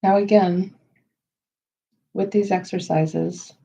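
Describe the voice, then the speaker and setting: A woman leading a guided meditation in a slow, soothing style, with measured, unhurried phrases and pauses. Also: mid-range voice, fairly even intonation, gravelly, warm tone, slightly rough.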